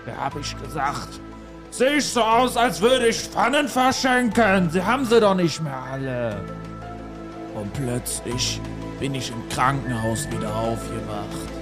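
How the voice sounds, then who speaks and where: A man's voice: fairly high pitch, expressive, measured pace, over music. A man voicing a creepy old man who rambles indignantly through horror anecdotes in an improvised comedy audio play. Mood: indignant, rambling.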